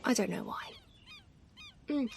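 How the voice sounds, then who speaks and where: A woman playing a tender, doting mother in an ASMR roleplay, speaking softly and affectionately, sometimes nearly whispering. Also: a little slow, natural voice. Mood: playful, cosy. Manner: soft, affectionate, gently murmuring, sometimes near whisper. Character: tender, doting, playfully self-deprecating mother comforting her pet. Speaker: ASMR performer. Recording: steady ambient noise, ocean waves. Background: ocean waves ambience.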